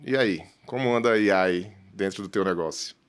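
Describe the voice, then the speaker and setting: A man with a Brazilian Portuguese accent hosting a conversational business discussion in a halting way, often adding tag questions. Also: on the low side, clean tone. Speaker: host.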